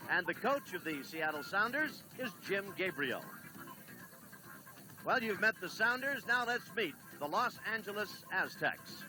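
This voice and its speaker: A man doing play-by-play on a 1970s television soccer broadcast, briskly reading lineups and standings. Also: high voice, lively intonation, music in the background, poor audio. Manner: brisk, reads lineups and stats. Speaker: play-by-play announcer.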